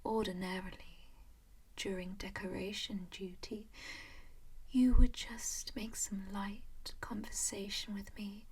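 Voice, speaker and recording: A composed vampire noblewoman, voiced by a woman, who speaks only in a slow, airy whisper. She coaxes with pet names like darling and dear, gentle but quietly possessive. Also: mid-range voice, breathy edge, exaggerated voice. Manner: soft whisper throughout. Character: composed, doting female vampire noble who owns a servant. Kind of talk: whispered ASMR roleplay.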